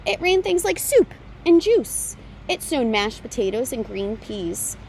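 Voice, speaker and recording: A woman with a fairly high voice reads a picture book aloud for young children. Her phrasing is expressive and deliberate, in the style of a storytime reader. There is some faint noise behind her on the recording.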